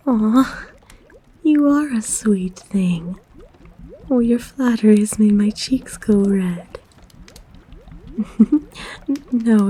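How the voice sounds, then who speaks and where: Woman playing a soft, slow-talking kind forest witch. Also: mid-range voice. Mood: flattered, flirty. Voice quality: soft, breathy, whispery. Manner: gentle, coaxing, intimate. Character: kind, flirtatious forest witch, nurturing hermit healer. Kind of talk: ASMR roleplay, soft-spoken to the listener.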